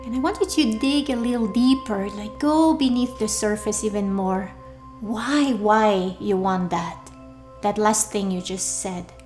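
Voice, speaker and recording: A woman leading a guided meditation in a soothing, deliberate way, leaving pauses between short phrases. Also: mid-range voice. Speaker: meditation guide, workshop leader. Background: soft background music.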